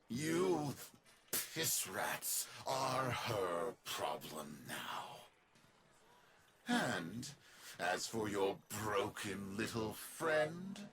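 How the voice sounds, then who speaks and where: Bright, sneering, greedy villain. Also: male voice, a little high, heavily acted. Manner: theatrical, sneering, mock-courteous. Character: Neff, smug greedy landlord villain, sneering wheeler-dealer. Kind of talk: video game voice acting.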